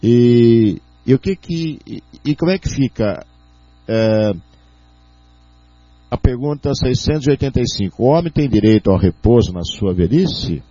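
A Brazilian Portuguese-accented man hosting a radio talk show, with a mellow, dark, raspy voice. He rambles through his questions with many fillers. The sound is dry and dull, like lo-fi radio. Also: mid-range voice, lively intonation, a little slow, no echo.

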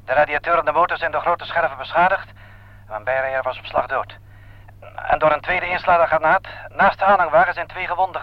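An actor playing a military ambulance driver at the front, reporting urgently in clipped phrases in a radio play. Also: male voice, on the high side, dry recording, lightly acted. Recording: dull, dry old radio recording.

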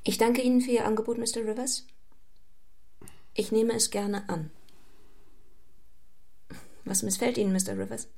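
Guarded, proud young governess. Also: female voice, a little high, steady tone, normal speed, vocal fry, long pauses, darkish tone. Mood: polite, composed. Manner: reserved, formal, composed. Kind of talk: German radio play acting.

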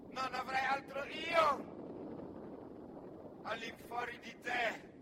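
Male stage storyteller from Senegal: declamatory, solemn, very slow delivery with dramatic pauses, heavy background noise. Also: extremely high pitch. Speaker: actor and griot storyteller. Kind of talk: theatrical monologue, poetic recitation.

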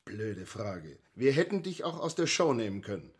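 An actor playing a dismissive, condescending fight promoter in a radio drama, with a mocking, patronizing manner. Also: male voice, lowish voice, big pitch swings, moderate pace, breathy edge.

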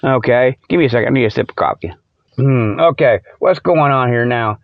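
Guy with a high voice doing a rambly folksy comedy show, jokey, close dry sound, bit muffled. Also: a little fast, no echo. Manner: joking, folksy, often chuckling at himself; colloquial rural-style grammar such as ain't and them. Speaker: host of a comic show. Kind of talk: rambling off-the-cuff comic monologue.

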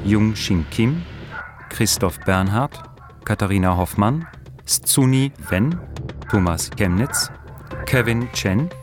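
Male announcer, slow and formal credit reading for radio drama, prominent music underneath. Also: fairly low pitch. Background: loud music.

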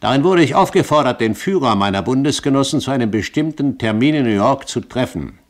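An actor playing a shrewd, haughty gentleman pirate captain in a German radio play, speaking precisely and with condescension. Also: mid-range voice, expressive, a little fast, close to an everyday voice. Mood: measured, assured. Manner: lofty, precise, condescending, rhetorical.

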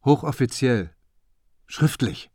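An actor playing an accusing, grieving man in a radio drama monologue, in short, emphatic, deliberate phrases. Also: mid-range voice, lively intonation, a little mellow, no room sound, clean studio sound. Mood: bitter, insistent.

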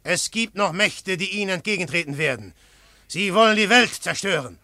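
An actor narrating a radio play as a hunted male detective, husky and grave. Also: high-pitched, expressive, normal speed, sharp tone, exaggerated voice. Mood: defiant. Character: hunted male detective telling his story. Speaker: first-person narrator and detective Syme.

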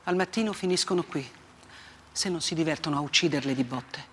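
An actress playing a grave, unflinching woman war reporter in a theatrical monologue, speaking in short, deliberate phrases with dramatic pauses. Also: low-pitched, a little hiss or hum, lightly acted. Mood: grim, indignant. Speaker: stage actress. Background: plucked guitar-like music.

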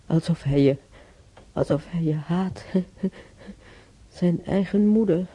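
Husky, slow old woman. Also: fairly low pitch, dark tone. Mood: regretful, musing. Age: older. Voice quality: husky, creaky. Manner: halting, brooding, repeating herself. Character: regretful old mother remembering beating her stubborn son. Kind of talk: radio drama monologue.

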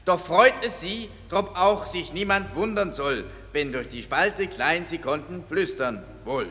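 An actor playing an earnest amateur prologue speaker, declaiming rhymed radio play verse in a pompous, halting, emphatic way. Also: male voice, on the high side, animated, bright, forceful tone. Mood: pompous presenting. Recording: reverberant hall.

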